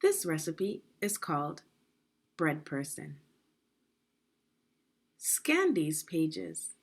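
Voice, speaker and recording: A woman reading aloud as a teacher for young children, explaining things deliberately and checking in with questions. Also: sits low, wildly swooping pitch.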